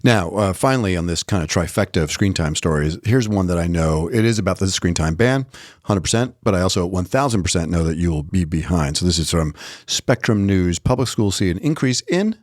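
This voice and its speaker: A man's voice: sits low, expressive, brisk, dry recording, studio quality. A man co-hosting a podcast, talking in a casual, explanatory and chatty way. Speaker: podcast co-host. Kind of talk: casual conversational podcast talk.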